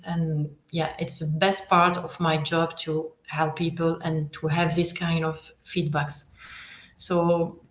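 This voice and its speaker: A woman's voice: fairly low pitch, reverberant, a little hiss or hum. A French woman in her thirties teaching a live online workshop, switching between French and English, patient and encouraging with many hesitations.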